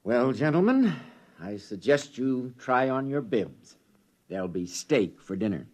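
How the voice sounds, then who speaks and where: An actor playing a pragmatic, sardonic spaceship crew leader, matter-of-fact, in a 1950s radio drama. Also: male voice, medium pitch, sing-song, unhurried, a little mellow.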